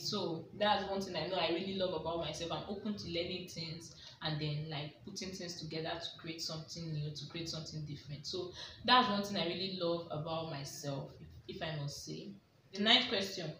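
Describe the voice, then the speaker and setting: A woman's voice: on the low side, a bit bright. A young Nigerian woman chats through a list of personal questions in a casual vlog. She often repeats a question to herself as she thinks aloud. Her voice bounces around a small room and sounds set back from the mic, over faint room hiss. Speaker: vlogger. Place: small indoor room with a plain wall. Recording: echoey room, mic at a distance.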